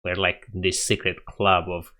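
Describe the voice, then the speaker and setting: A man's voice: low-pitched, flat delivery, a little slow, bright, forceful tone. A young man with a Romanian accent, casually chatting with viewers on a coding live stream, laughing often and thinking aloud.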